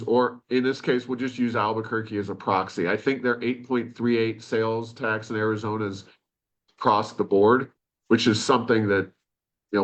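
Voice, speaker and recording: A man co-hosting a conversational policy talk show, explaining points at length with frequent asides. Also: fairly low pitch. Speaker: podcast host.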